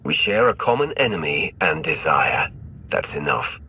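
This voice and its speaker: An actor playing a steady rebel alliance commander in a video game, with a terse, wry way of talking. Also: male voice, extremely low pitch, normal speed, gravelly, breathy edge, full character voice. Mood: measured.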